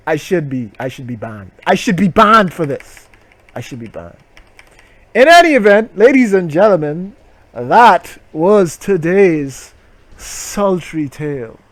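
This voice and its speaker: Slow, mock-serious man reading aloud. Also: fairly high pitch, vocal fry, dry recording. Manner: mock-serious narration broken by loud, incredulous outbursts. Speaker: host reading stories. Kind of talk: comic dramatic reading of an erotic short story.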